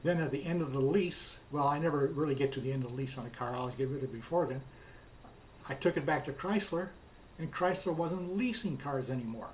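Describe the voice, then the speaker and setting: A man's voice: mid-range voice, brisk, a little hiss or hum, low-quality recording. A man casually telling a personal anecdote in a plainspoken way, recounting back-and-forth dialogue as he goes. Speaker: car customer telling his experience.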